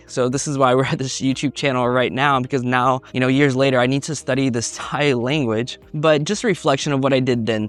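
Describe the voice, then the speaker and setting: A man in his thirties from the United States, telling his language-learning story in a relaxed, rambling way with fillers. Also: medium pitch. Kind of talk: reflective personal monologue.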